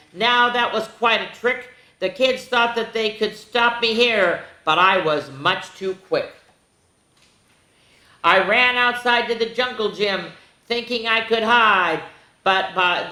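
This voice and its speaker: A woman voicing a proud, excitable turkey who tells its tale in dramatic picture-book rhyme. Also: fairly high pitch, voice pushed up. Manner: boastful, dramatic rhyming storytelling. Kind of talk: rhyming picture-book read-aloud. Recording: roomy, echoing room sound.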